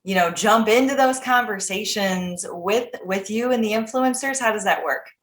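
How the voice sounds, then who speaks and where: A woman's voice: medium pitch, normal speed, reverberant. An American woman hosting a podcast, asking questions in an upbeat, enthusiastic, casual way. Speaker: podcast host. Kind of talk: casual interview chat.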